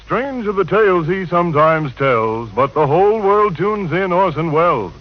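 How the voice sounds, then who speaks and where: Old radio announcer guy, high voice, rhymed intros, muffled sound. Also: expressive, normal speed. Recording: dull 1940 radio recording.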